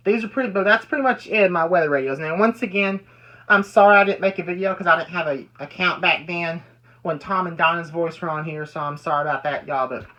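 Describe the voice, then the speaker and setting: Casting: home vlogger, sharp bright tone, casual show-and-tell, halting and repetitive. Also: mid-range voice, low-quality recording. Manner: repetitive, halting explanations with repeated phrases. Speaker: hobbyist vlogger. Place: indoors at home.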